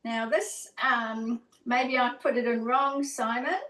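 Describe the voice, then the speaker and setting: A woman's voice: fairly high pitch, steady tone, slow pace, fairly bright tone, far from the mic. A woman giving a casual cooking demonstration over a video call, chatty and rambling, correcting herself as she goes. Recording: roomy, from away from the mic.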